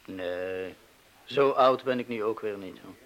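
Actor as hesitant, guilt-ridden middle-aged crook. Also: male voice, lowish voice, lightly acted, low-quality recording. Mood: reluctant, matter-of-fact. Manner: hesitant, halting, confessional. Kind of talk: radio play acting.

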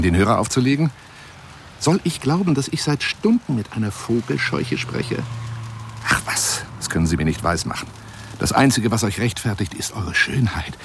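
Low, gravelly, theatrical lovelorn eccentric. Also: male voice, a little hiss or hum. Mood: scornful then adoring. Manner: theatrical, florid, self-pitying, shifting to whispers and outbursts. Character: eccentric, lovelorn, wounded male lion hunter monologuing on telephone. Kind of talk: radio drama monologue.

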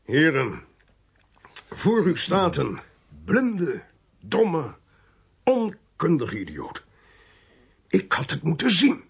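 An actor playing a blunt, commanding military intelligence chief in a radio drama, speaking in clipped, authoritative phrases. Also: male voice, fairly high pitch, wildly swooping pitch, drawn-out words, creaky, long pauses, husky, heavily acted. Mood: bitter self-reproach. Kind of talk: Dutch radio drama acting.

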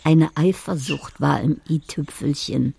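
A woman's voice: low voice, vocal fry, warm tone, slightly rough, exaggerated voice. An actress playing a dreamy, wounded older woman in a radio drama monologue, wistful and poetic. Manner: slow, wistful, deliberate.

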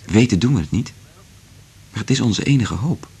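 An actor playing an earnest, resolute scientist in love in a radio play, speaking in a measured, intimate way with a husky voice. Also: male voice, lowish voice, expressive, mellow, exaggerated voice. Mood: earnest, pleading. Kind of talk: Dutch radio play acting.

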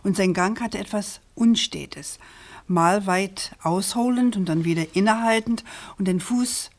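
An actress playing a probing, composed woman journalist in a German radio crime drama, speaking in a measured, articulate and insistent way. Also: mid-range voice. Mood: probing, factual. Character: persistent, probing female journalist, composed and precise.

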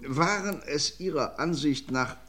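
An actor playing a calm, methodical police inspector in a vintage radio crime drama. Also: male voice, fairly high pitch, measured pace. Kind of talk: 1950s radio play acting.

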